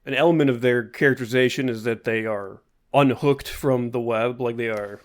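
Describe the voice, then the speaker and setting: Man, casual co-host, dry wry asides, ordinary mid-pitched voice. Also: normal speed. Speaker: podcast co-host. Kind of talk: casual chat.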